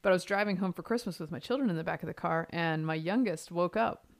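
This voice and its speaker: Chatty woman with a low voice. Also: fast talker. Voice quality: deeper register voice. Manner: chatty, self-deprecating storyteller. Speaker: podcast co-host. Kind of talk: casual chat.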